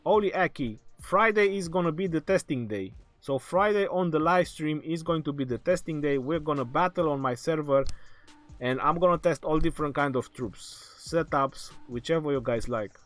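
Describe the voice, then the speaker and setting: A man hosting a live game stream, reading viewers' questions aloud and answering them casually, his voice going hoarse. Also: a little high. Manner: reads viewer questions aloud then answers, laughs often. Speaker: game livestream host. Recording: faint background music.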